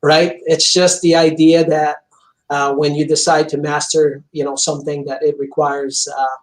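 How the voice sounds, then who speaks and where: Earnest man, flat delivery. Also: on the high side, normal speed, poor audio. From Montreal, Canada. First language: French. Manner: earnest, intense, many "you know" fillers. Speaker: chiropractor guest. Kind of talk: interview over video call.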